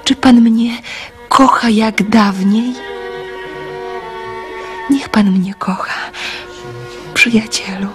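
An actress playing a young woman in love in a radio drama, soft, earnest and pleading. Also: on the high side, drawn-out words, pauses often. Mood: pleading, tender. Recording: radio drama with music underneath.

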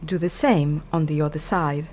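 A woman reading scripted narration for a training film in a measured, instructional manner, step by step. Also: low voice, fairly even intonation, a little mellow, slight background noise.

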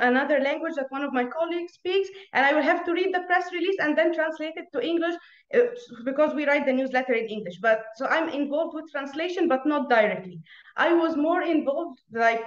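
Young Egyptian woman, Arabic native, high pitch, talkative guest on a lo-fi, roomy video call. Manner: chatty, laughs often, many restarts. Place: small room at home. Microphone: earphone mic on video call.

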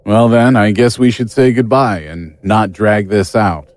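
A man's voice: lowish voice, fairly even intonation, a little slow, a little mellow, no echo, lightly acted. A man playing a blunt, informal private investigator, an ordinary world-weary guy, in a naturalistic audio drama. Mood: resigned, gruff. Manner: blunt, informal, matter-of-fact.

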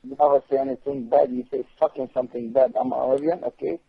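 An angry-sounding, confrontational man talks curtly and swears heavily over the telephone. His medium-pitched voice comes through very dry and band-limited, with little top or bottom. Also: level tone, quick pace, creaky, breathy edge, no room sound. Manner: confrontational, heavy swearing, curt. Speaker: prank call recipient. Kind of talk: irritated phone conversation. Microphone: phone. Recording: dull, dry telephone line.

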